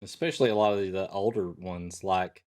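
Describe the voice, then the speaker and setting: A man's voice: low voice, fairly even intonation, fairly quick, darkish tone. A middle-aged man co-hosting a casual chat, speaking in a hesitant, musing way. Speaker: talk show co-host.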